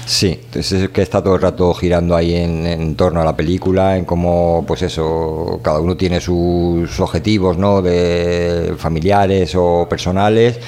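A man's voice: low voice, flat delivery, moderate pace, hardly any pauses, mellow. A man with a Spanish accent from Spain co-hosts a podcast, talking in a casual, opinionated, rambling and colloquial way. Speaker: podcast co-host.

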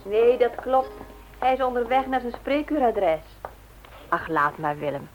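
An actress playing a caring, gently nagging wife and mother in a Dutch radio drama, coaxing and firm. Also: a little high, animated, measured pace, dull audio, faint music behind. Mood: soothing. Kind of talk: Dutch radio play acting, domestic scene.

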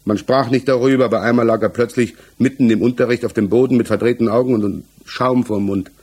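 A man's voice: medium pitch, fairly even intonation, a little mellow, husky, close to an everyday voice. An actor playing a defensive, hesitant married village tradesman under questioning in a 1960s German radio play, searching for his words. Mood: reflective, uneasy. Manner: halting, searching for words, evasive.

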